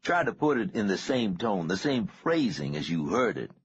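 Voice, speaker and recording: A man reading an audiobook, voicing an easygoing male investigator who is unhurried, probing and gently reassuring in a deep, husky voice. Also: very animated, highs cut off, exaggerated voice. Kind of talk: audiobook character dialogue.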